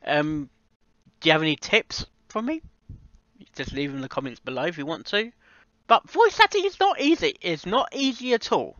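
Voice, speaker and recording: A man chatting casually and unscripted in a reaction vlog, halting and often repeating and rephrasing himself. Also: on the high side, sing-song, a bit bright, dry recording, lo-fi sound. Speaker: amateur voice actor reviewing a fan fiction audio reading.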